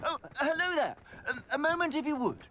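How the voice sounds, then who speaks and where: A man's voice: extremely high pitch, animated, normal speed, sharp tone, slight background noise, exaggerated voice. An actor playing a pompous, effusive male clothier in a video game, courteous with hesitant little stammers. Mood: polite, flustered.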